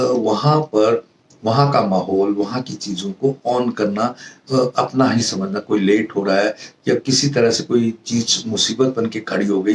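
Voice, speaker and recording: Slow-talking Indian man in an echoey room. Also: mid-range voice, slight background noise. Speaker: professor giving a public speaking lesson. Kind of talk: informal instructional monologue to viewers. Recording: roomy echo, distant mic, music behind.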